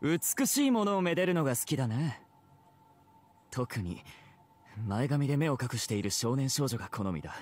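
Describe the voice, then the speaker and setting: A man's voice: medium pitch, animated, normal speed, long pauses, a little mellow, lightly acted. A man playing an elegant, teasing pirate captain in a game, polite, theatrical and self-assured. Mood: smug, elegant. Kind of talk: Japanese game character voice acting.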